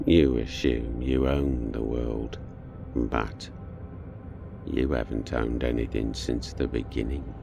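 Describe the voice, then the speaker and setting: A man playing a demonic presence inside a condemned boy murderer in an audio horror drama, taunting and contemptuous with a gravelly, menacing delivery. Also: exaggerated voice. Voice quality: very deep, gravelly. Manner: slow, deliberate, taunting, menacing.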